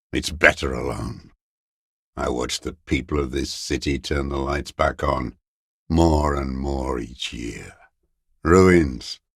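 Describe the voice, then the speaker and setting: Very deep, gravelly old deserter. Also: male voice, fairly bright tone, dry recording, clean studio sound, heavily acted. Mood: bitter, disgusted. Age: older. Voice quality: gravelly, raspy, husky. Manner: slow, muttering, contemptuous delivery with terse fragments. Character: bitter old communist deserter, hiding in ruins. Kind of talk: video game character voice acting.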